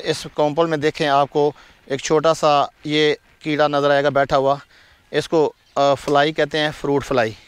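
A man's voice: on the high side, clean tone. A man giving a casual walking tour of his farm outdoors, talking to viewers as he shows crops and animals. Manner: chatty, shows things to viewers as he walks. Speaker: farm vlogger. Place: outdoors on a farm among fields.

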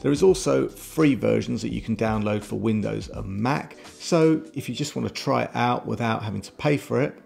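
A man's voice: medium pitch, normal speed, gravelly, few pauses, a little mellow. A middle-aged man presenting a tech product review in a casual but scripted YouTube style, recorded indoors on a close desk mic. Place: home room with shelves, indoors. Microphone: desk microphone close in front. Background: background music.

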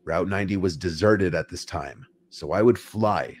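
Very deep-voiced male horror narrator. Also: normal speed, slight background noise. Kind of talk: scripted first-person scary story narration. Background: music behind the voice.

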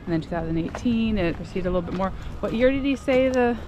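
A woman's voice: mid-range voice, animated, fast. A woman in her thirties vlogging on a foggy lake shore, chatty and full of wonder. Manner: enthused, marvelling, chatty. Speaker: travel vlogger. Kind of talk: casual vlog narration. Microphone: handheld action camera. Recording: outdoor wind and water noise.

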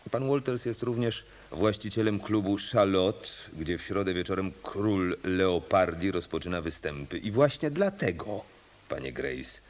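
Icy formal hotel boss, radio drama, deep n slow, talks down to you. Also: male voice, animated. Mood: cold, formal. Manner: measured, formal, condescending. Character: formal, coldly authoritative male hotel manager.

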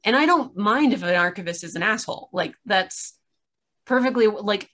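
Wry, animated woman chatting casually. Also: mid-range voice, normal speed. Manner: animated storytelling with acted-out asides and wry exasperation. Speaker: podcast reviewer in a video chat. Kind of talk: casual chat, opinionated recounting. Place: small room at home, on a video call.

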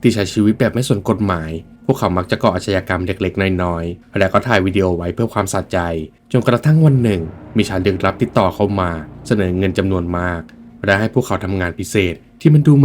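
A man's voice: sits low, quick pace, a little mellow, slight background noise. A man reading scripted film commentary as a video essay narrator, explaining in an emphatic storytelling manner.

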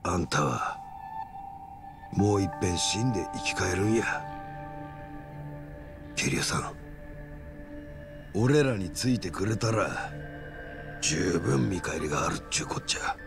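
Low voiced kansai yakuza, polite but threatening, video game scene w music behind. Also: male voice, lively intonation, takes it slowly, creaky, long pauses, full character voice. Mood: coolly persuasive. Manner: polite speech with blunt, threatening edges. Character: menacing, calculating male yakuza, politely pressing a bargain. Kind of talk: dramatic video game cutscene acting.

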